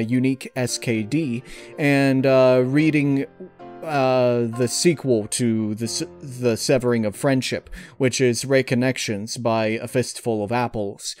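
A man giving casual interview answers in a halting, wordy way, often amused. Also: mid-range voice, a little mellow. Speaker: interviewee, audio story reader. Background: music behind the voice.

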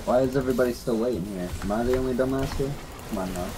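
A man's voice: mid-range voice, very fast, noisy background. A man playing a video game online, talking casually and sounding exasperated, with frequent swearing. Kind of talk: casual gaming chat.

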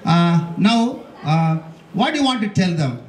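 Older indian accent guy talking to kids on stage, swingy pitch, echoey mic, repeats himself a bit. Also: sing-song, a little slow, a little mellow. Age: older, 60s. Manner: coaxing, repeats himself, addresses audience and puppet directly. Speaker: puppeteer and presenter. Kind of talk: live talk to a children's audience. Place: covered outdoor stage at a school. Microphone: handheld microphone. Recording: echoey PA sound in open venue.

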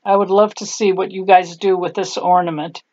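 A woman's voice: on the low side, steady tone, normal speed, darkish tone, highs cut off. An older woman, German her first language, chatting in a homey, rambling way while she shows a clay craft, tired at the end of the day. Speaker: craft tutorial host. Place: home craft table, indoors.

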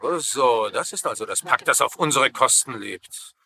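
Sharp, arrogant German officer. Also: male voice, medium pitch, animated, normal speed, slightly rough, full character voice. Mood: cold, contemptuous. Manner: contemptuous, clipped. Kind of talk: dubbed sitcom villain.

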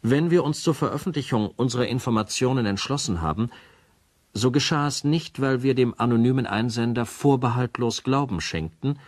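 A man playing a radio announcer in a crime drama, formal, measured and sober in his scripted narration. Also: mid-range voice, no room sound, lightly acted.

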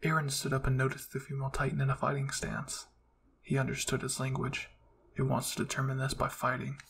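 Man, storyteller, scripted fiction narration, gravelly, little pitch movement. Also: completely flat delivery, fairly quick, fairly bright tone. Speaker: storyteller, channel host.

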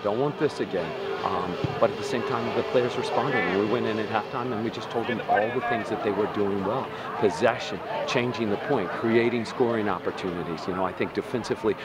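Brief: deep-voiced coach in his forties, reflective postgame talk on a field at night, halting with fillers. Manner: reflective, many you-knows and restarts. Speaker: soccer coach. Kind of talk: postgame interview. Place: outdoor stadium field at night. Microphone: handheld camera mic, close framing.

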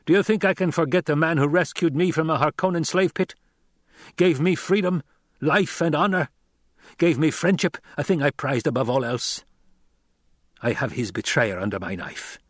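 A male narrator plays a loyal warrior burning for revenge in an audiobook. He snarls his threats forcefully, pitched up and loud, in a very dry recording. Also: moderate pace, no echo, close to an everyday voice. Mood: outraged, vengeful. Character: enraged, vengeful loyal warrior holding a hostage. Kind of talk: audiobook character acting.

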